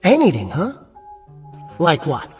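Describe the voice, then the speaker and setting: Flustered, animated nightclub ventriloquist. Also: male voice, on the high side, slow, faint music behind, full character voice. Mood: coaxing. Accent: American. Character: flustered, placating male nightclub ventriloquist, genial straight man. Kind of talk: radio drama comedy double act.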